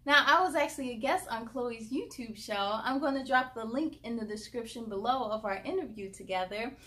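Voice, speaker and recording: A young woman storyteller reading aloud for children in an expressive, encouraging way, addressing them directly. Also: on the high side, brisk. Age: young adult.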